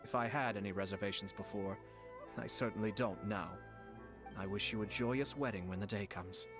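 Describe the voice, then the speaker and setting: Fantasy game aristocrat, male actor: lowish pitch, polished manners, shrewd and unruffled, formal diction. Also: lightly acted. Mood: gracious. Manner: formal, polite, measured. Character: courteous, composed young nobleman, measured and shrewd. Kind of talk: game character acting. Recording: faint music behind the voice.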